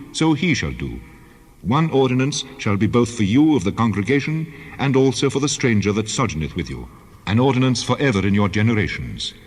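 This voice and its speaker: An actor playing God in a dramatized scripture reading, solemn, formal and commanding. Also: male voice, slightly rough. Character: God speaking law and judgement, solemn and commanding. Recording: long booming reverb.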